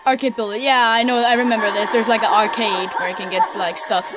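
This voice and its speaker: A young woman giving casual, rambling commentary while playing a video game, with many pauses and fillers. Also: on the high side, steady tone. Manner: chatty, rambling with many ums and laughs. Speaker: gamer playing through a game. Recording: talking over loud game music.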